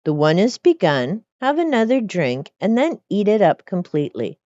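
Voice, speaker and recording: A woman's voice: mid-range voice, big pitch swings, normal speed, dark tone, no room sound, muffled recording, voice pushed up, close to an everyday voice. A woman voicing a merry, greedy, sly female cook from a storybook, chirpy and wheedling as she talks herself round. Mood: tempted, self-justifying. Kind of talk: storybook character reading.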